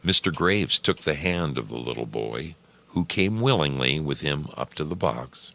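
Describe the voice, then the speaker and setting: A man narrating a short story as a program's storyteller, deliberate and theatrical in his delivery. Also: a bass voice, lively intonation, gravelly, sharp tone.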